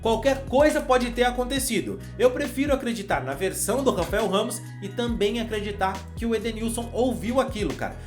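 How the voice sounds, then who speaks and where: A man's voice: very high-pitched, animated, fairly quick, hardly any pauses, soft background music. Brazilian man giving casual football commentary. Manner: conversational, addresses viewer with slang. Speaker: football channel host.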